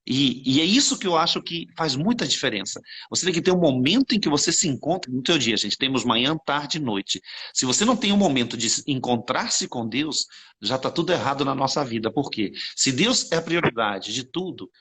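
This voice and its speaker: Fervent fast-talking husky Brazilian man. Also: mid-range voice, animated, a bit bright. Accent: Brazilian Portuguese. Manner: fervent, preachy, addresses viewers as "gente". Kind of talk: animated spiritual conversation.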